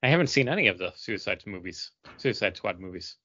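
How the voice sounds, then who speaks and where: A man's voice: lowish voice, animated, fairly quick, sharp tone, no echo. A man chatting casually as a co-host on a podcast. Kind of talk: casual chat. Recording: dull lo-fi sound, dry.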